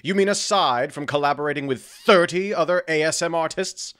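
A man's voice: a little high, brisk, fairly bright tone, smooth, lightly acted. A man playing a male fairy, a petty, wounded lover, with arch, passive-aggressive delivery in a conversational audio drama. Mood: petty, aggrieved.

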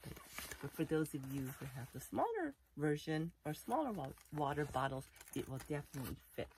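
A woman's voice: low voice, big pitch swings, fairly quick, darkish tone, slight background noise. A middle-aged woman casually showing off a backpack, talking in a rambling, chatty way full of "you know" and restarts, recorded indoors close to the camera. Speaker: vlogger reviewing a backpack. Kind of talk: casual unboxing show-and-tell. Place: indoor room full of collectibles.

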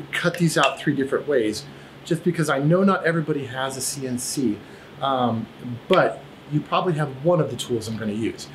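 A man's voice: mid-range voice, low-quality recording. Man, 30s, woodworking vlog host, easygoing shop-floor rambler, faint background hiss. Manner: relaxed, rambling with many fillers and restarts. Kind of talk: casual workshop vlog. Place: cluttered home woodworking shop, indoors. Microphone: camera at a few feet.

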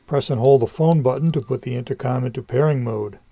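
A man's voice: mid-range voice, fairly quick, warm tone, slight background noise. A man presenting a scripted product review as a voice-over narration.

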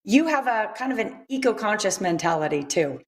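A woman's voice: medium pitch, lively intonation, fairly quick. A woman co-hosting a daytime TV show, asking questions in an upbeat, enthusiastic way. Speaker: morning show co-host. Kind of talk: daytime TV interview. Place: studio set.

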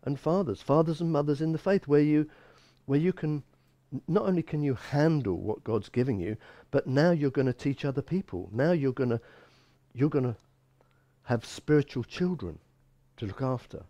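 A man's voice: a little high, lively intonation, normal speed, dark tone, no room sound, dull audio. A man in his sixties giving an expository Bible teaching sermon as a pastor, emphatic and given to repeating phrases. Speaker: bishop and pastor. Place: small church room, indoors.

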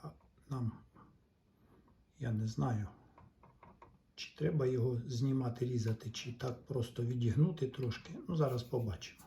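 A man giving a casual hands-on repair walkthrough, halting and thinking aloud in short phrases. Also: mid-range voice, dark tone, a little hiss or hum. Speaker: hobbyist repairer giving a demonstration.

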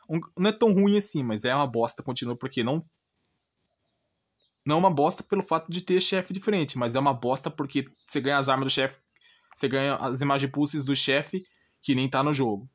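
Higher-voiced Brazilian man ranting informally. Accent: Brazilian Portuguese. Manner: exasperated, blunt, repetitive with rhetorical questions. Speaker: gaming channel host. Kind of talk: informal opinionated rant.

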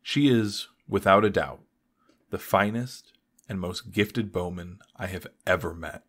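A weary superhero archer played by a male actor speaks in a low register. His delivery is wry, understated and deadpan, suited to a motion comic. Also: unhurried, slightly rough, full character voice. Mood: wry narration. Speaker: voice actor narrating and playing lead.

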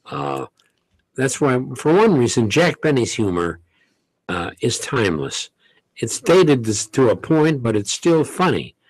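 Older male radio veteran, genial and joking, even mid pitch, dry studio mic. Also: a little slow, husky, no echo, low-quality recording. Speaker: radio show host, guest. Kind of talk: casual interview talk. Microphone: studio boom mic.

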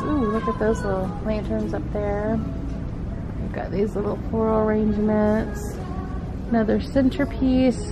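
A middle-aged woman talks slowly and easily as she walks the aisles of a home decor store with a handheld camera. She points out prices and cute pieces in a chatty, friendly way. Clear background music plays under her voice. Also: mid-range voice, rough recording. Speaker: vlogger. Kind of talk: shop-with-me vlog.

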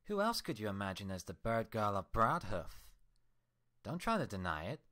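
A man's voice: fairly low pitch, big pitch swings, normal speed, sharp tone. A man voicing the sarcastic, mocking mirror reflection of a female unicorn. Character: sneering mocking mirror twin of a unicorn mare.

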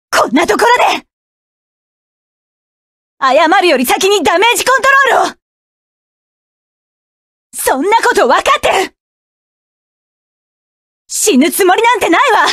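A woman's voice: very high voice, animated, takes it slowly, pauses often, a bit bright, no room sound, studio quality, heavily acted. A woman voicing a proud, fierce young woman mech pilot who barks curt, commanding and scornful lines. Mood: desperate, furious. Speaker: voice actress. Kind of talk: game voice clip collection.

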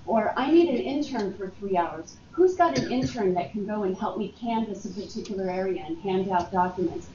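A woman's voice: medium pitch, expressive, a little fast, crowd noise. A woman hosting a workplace communications workshop, chatty and upbeat, recorded from across a meeting room. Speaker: workshop host. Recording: far-off roomy lo-fi meeting recording.

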